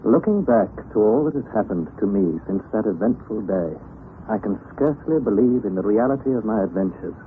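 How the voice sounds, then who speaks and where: Man playing earnest young English nephew, radio drama narrator, expressive intonation, articulate, narrow noisy old recording. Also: fairly low pitch, a little fast, close to an everyday voice. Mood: reflective storytelling. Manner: articulate, formal, dramatic storytelling. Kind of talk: first-person radio drama narration and acting.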